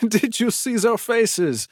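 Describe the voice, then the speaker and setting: A man's voice: very high voice, expressive, slow pace, no echo, studio quality, exaggerated voice. A man voicing a smug, arrogant, suave agent in a video game. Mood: smug amusement.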